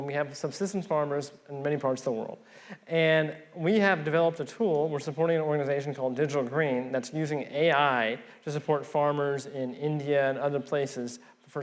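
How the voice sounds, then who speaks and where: A man from Pakistan whose first language is Urdu, speaking on a conference panel with many fillers and restarts. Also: on the high side.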